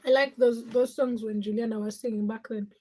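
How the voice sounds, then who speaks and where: A woman's voice: on the high side, steady tone, a little fast, darkish tone. A woman with a Ugandan accent chatting casually as a livestream host, speaking to viewers in short, often repeated phrases. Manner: short, fragmented phrases with repetition.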